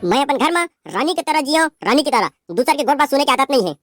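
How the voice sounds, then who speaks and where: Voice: high voice, lively intonation, quick pace, no room sound, faint music behind. A performer voicing a spoiled, haughty young daughter-in-law with a Chhattisgarhi accent, scolding and rude in a cartoon. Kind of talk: cartoon voice acting.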